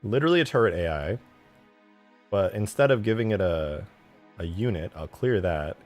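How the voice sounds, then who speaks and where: Low-voiced man thinking aloud. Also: lively intonation, normal speed, darkish tone, soft background music. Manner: thinks aloud in short fragments, muttering to himself while working. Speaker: live streamer and game modder. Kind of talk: casual live stream chat while working.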